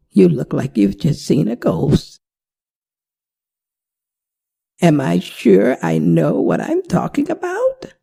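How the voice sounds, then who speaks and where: A woman playing a worried, gentle older werewolf mother in an ASMR roleplay, speaking tenderly and dramatically to the listener in a gravelly, breathy voice. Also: lowish voice, heavily acted. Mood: concerned, probing. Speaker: voice actor.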